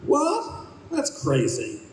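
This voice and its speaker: Man playing a blustery, animated old ex-sergeant. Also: extremely high pitch, extremely slow, slight background noise, exaggerated voice. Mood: exasperated. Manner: blustering, insistent. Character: stubborn, blustery old ex-drill-sergeant father, newly dead. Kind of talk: old-time radio play acting. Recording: echoey live stage, distant microphone.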